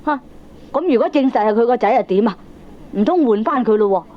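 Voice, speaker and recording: A sceptical, anxious Hong Kong Cantonese wife played by a woman questions and cautions in exclamations. Her voice is fairly high, in a vintage comic radio play with muffled cassette sound. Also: animated, fairly quick, natural voice. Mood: anxious, scolding. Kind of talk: 1980s Cantonese comic radio drama acting. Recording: dull lo-fi cassette recording.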